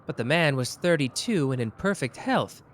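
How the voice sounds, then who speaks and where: Guy doing a cheeky young detective, kinda high voice, super lively, teasing. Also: a little hiss or hum, close to an everyday voice. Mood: playful. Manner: teasing, quick-witted. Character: sharp, playful young male detective. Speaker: voice actor. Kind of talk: ASMR character roleplay.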